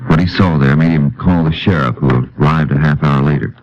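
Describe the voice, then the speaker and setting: A steady, matter-of-fact lawman narrator, very deep. He tells the case in scripted old radio style on a dull vintage recording. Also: brisk, noisy background.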